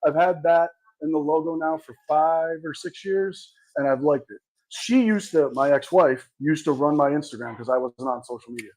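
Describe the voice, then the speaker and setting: A relaxed man guest chats about his own story with an easy, chuckling manner. His voice sits a little high, and he talks at an unhurried, conversational pace. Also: rough recording. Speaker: guest, cigar brand owner. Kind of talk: casual interview storytelling. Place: cigar shop.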